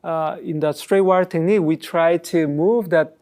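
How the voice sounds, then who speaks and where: A man's voice: fairly high pitch, fairly even intonation, normal speed, darkish tone. A middle-aged man who grew up in Chile and Peru, an orthodontist explaining his work in a studio interview, speaking with many fillers and restarts. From Korea, raised in Chile and Peru. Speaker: orthodontist guest.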